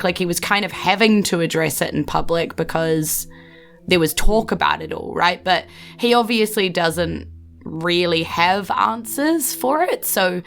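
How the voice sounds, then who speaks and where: A woman's voice: fairly low pitch, creaky, fairly bright tone. A woman casually explaining and narrating as game master of a tabletop game.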